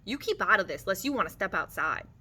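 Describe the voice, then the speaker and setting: A small, insecure ranch bully with a short fuse is acted out by a woman reader. The delivery is forceful and combative, in rough country talk from a storybook. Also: mid-range voice, very animated, quick pace, slight background noise. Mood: enraged. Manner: combative, rough rural speech. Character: small, hot-tempered, bullying young ranch husband, insecure.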